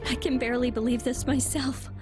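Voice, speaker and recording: A woman's voice: sits high, level tone, fairly quick, close to an everyday voice. An actress playing a guarded, exasperated young woman in a game cutscene, hesitant. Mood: exasperated, disbelieving. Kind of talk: dramatic video game cutscene acting. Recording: loud music over the voice.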